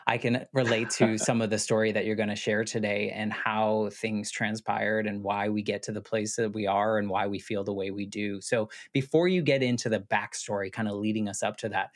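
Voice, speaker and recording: An American man hosting a podcast, asking curious, chatty questions with lots of fillers. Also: lowish voice. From United States. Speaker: podcast host. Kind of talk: casual interview conversation.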